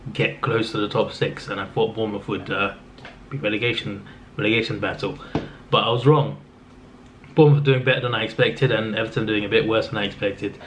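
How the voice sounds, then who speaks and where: A man with a fading voice, rambling through a casual football recap in a subdued tone, with lots of restarts. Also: mid-range voice. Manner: subdued, flat-sounding delivery; rambling, with frequent restarts and fillers. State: losing his voice. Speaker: football vlogger. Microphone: camera mic. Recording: slightly dull lo-fi audio with faint noise.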